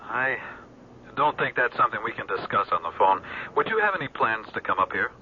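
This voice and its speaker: An actor playing a courteous, grave police lieutenant who speaks hesitantly in a measured way, in a radio drama. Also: male voice, mid-range voice, lively intonation, sharp tone, slight background noise. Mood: matter-of-fact. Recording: muffled lo-fi 1970s radio recording.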